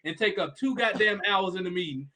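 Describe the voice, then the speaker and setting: A man's voice: high voice, expressive, brisk, sharp tone. A man from Chicago hosting a casual livestream chat, talking bluntly and emphatically with a lot of profanity.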